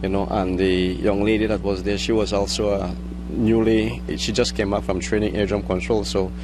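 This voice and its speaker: A middle-aged man with a Belizean accent giving an unscripted news interview, speaking haltingly and rephrasing as he goes. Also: fairly low pitch, flat delivery, background noise. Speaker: air traffic controller interviewee.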